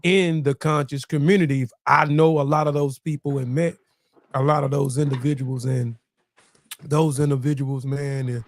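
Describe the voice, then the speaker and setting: A man hosting an interview podcast who asks questions in a measured way, with some fillers. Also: medium pitch, steady tone, dry recording. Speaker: podcast host. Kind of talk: interview conversation.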